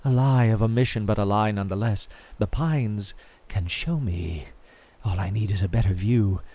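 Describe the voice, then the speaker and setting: A man playing an eerily fascinated field researcher in an audio drama, recording a halting, wondering monologue as he slips toward madness. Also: fairly low pitch, warm tone. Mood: rapturous, unhinged.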